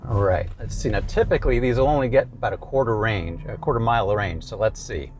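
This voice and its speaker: A man's voice: on the low side, fast talker, a little hiss or hum. A man presenting a product range test in a casual, conversational way from inside a car.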